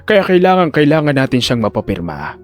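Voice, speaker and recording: A man's voice: mid-range voice, sing-song, brisk, faint music behind, natural voice. A man voicing a confident, calculating hospital director in his sixties in an audio drama. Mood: assured. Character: scheming, self-assured sixty-year-old male hospital director. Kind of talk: Filipino audio drama acting.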